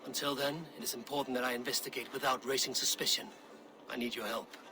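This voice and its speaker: A stern Viking warrior acted by a man for a cinematic video game. He speaks tersely and decisively at a middle pitch, with a measured, commanding delivery. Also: fairly even intonation, lightly acted. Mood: urgent, commanding.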